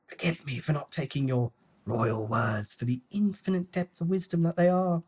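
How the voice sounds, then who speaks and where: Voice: medium pitch, normal speed, darkish tone, slightly rough, pitched above the performer's own voice, natural voice. A performer voicing a stern, exasperated young lord in a formal, dryly sarcastic manner for a fantasy story.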